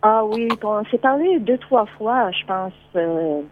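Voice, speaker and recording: A woman's voice: medium pitch, lively intonation, slow pace, a little hiss or hum. A woman from Quebec, calling into a show and chatting in a hesitant way, full of fillers and restarts. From Quebec, Canada. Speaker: caller. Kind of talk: casual phone chat. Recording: tinny phone line.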